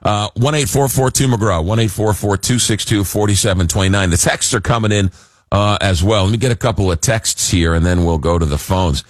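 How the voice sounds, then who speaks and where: A man hosting a radio call-in show, talking casually and haltingly in a droll way, slightly hoarse from a cold. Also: fairly low pitch, no room sound. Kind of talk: casual live talk radio monologue. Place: radio studio. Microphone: close broadcast mic.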